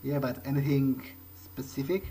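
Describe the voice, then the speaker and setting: A man's voice: medium pitch, normal speed, warm tone, a little hiss or hum. A man roleplaying in character as he plays a video game, musing to himself in a hesitant, conversational way. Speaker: let's player. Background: game soundtrack music.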